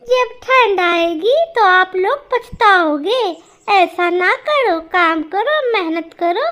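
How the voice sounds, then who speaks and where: An earnest little cartoon sparrow played by a woman speaks in a very high voice. She scolds and pleads at an unhurried pace, like a diligent small bird. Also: expressive, fairly bright tone, full character voice. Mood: earnest warning.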